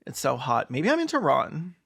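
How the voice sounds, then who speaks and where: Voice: medium pitch, huge pitch swings, moderate pace, vocal fry, fairly bright tone, husky. A video commentator doing mocking, profane, campy comedic commentary on a film.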